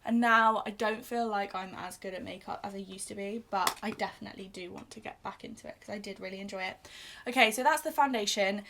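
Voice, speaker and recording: A woman's voice: medium pitch, fairly quick, vocal fry, background noise. A young British woman chatting casually while doing her makeup on camera, self-deprecating, with frequent fillers. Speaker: beauty vlogger. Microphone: camera in front of her.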